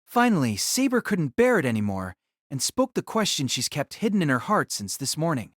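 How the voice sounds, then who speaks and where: A man's voice: fairly high pitch, big pitch swings, moderate pace, fairly bright tone, no room sound, studio quality. A man reading scripted story narration for an audio drama.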